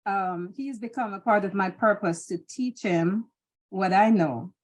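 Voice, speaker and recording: A woman's voice: medium pitch, measured pace. Jamaican woman answering thoughtfully. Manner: thoughtful, hesitant, many restarts and fillers. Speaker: interview guest. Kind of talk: casual interview answers.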